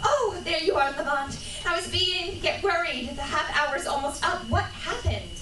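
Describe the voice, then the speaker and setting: A woman's voice: extremely high pitch, expressive, normal speed, pushed and bright, exaggerated voice. A woman playing a concerned, chatty companion to a crime fighter in a radio drama. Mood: relieved, concerned. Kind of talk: live comic reading of an old-time radio crime drama. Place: convention panel room. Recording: roomy echo, distant mic, faint noise.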